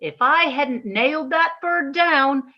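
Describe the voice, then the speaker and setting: A woman's voice: high-pitched, slow pace. A woman playing an evasive, shifty pet-shop keeper in an amateur radio comedy, stalling and blithely denying everything. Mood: blithely insistent. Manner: hesitant, stalling, blithely insistent. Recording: dull, band-limited recording.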